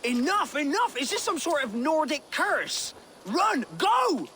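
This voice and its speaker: A man's voice: very high voice, big pitch swings, takes it slowly, bright, forceful tone, a little hiss or hum, exaggerated voice. A man playing a wary, grumbling medieval merchant in a video game, talking in a curt, colloquial, complaining way. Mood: alarmed, annoyed. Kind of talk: video game cutscene acting.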